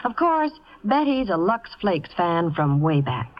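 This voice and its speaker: A woman's voice: on the low side, big pitch swings, unhurried. A woman reporting on Hollywood in scripted advertising banter on a vintage radio show. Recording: dull old radio recording.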